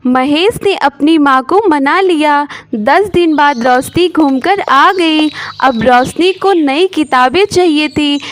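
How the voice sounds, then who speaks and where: A woman storyteller giving scripted narration of a moral story. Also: high-pitched.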